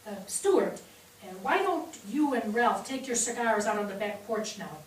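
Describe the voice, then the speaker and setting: A woman playing a brisk, motherly middle-aged daughter-in-law managing the household in a staged play reading. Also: a little high, lively intonation, natural voice. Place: theatre stage, recorded from afar. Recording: distant noisy microphone in echoey theatre.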